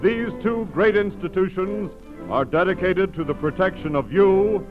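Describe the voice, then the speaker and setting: A man's voice: high voice, normal speed, over music. A man with an American accent making a formal, solemn and declamatory 1940s radio announcement. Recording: muffled old radio broadcast recording.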